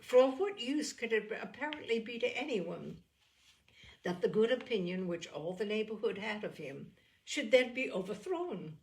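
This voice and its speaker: A woman reading as an earnest young Regency gentlewoman. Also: mid-range voice, moderate pace, rough recording. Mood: regretful, emphatic. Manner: emphatic, formal, animated.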